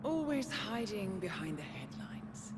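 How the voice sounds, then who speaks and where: An actress playing a cold, taunting spymaster handler in a video game cinematic, measured and mocking in delivery. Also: female voice, mid-range voice, very animated, heavy background noise. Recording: prominent music behind the voice.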